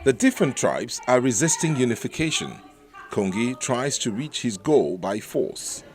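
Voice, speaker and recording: A man's voice: mid-range voice, sing-song, moderate pace, a little mellow. A man reading scripted television arts news narration as a reporter.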